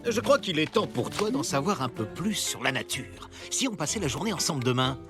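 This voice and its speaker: A man playing an eager, fatherly adult in an animated series, chivvying children along. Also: fairly high pitch, fairly quick, music in the background. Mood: enthusiastic.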